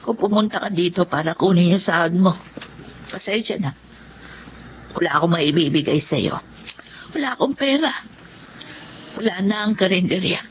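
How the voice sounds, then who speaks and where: An actress playing a remorseful, ruined older woman in a Filipino radio drama, plaintive and halting. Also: medium pitch, a bit bright, lightly acted. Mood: bitter, defeated. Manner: plaintive, halting, heavily emotional. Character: remorseful older woman, ruined eatery owner turned beggar. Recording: dull lo-fi audio with noise.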